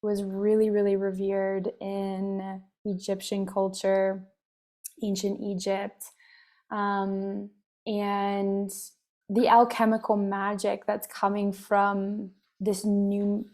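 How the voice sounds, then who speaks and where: Woman with a scratchy voice, talks slow and flat, soft rambling meditation chat. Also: medium pitch. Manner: soft, meandering, many fillers. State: scratchy voice with a cough. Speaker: wellness meditation host. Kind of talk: casual spiritual talk.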